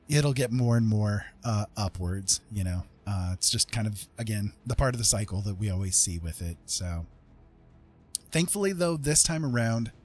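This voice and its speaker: A man's voice: fairly low pitch, a little mellow. A man chatting casually with livestream viewers in a rambling, conversational way. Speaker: livestream host. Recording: faint music behind the voice. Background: music.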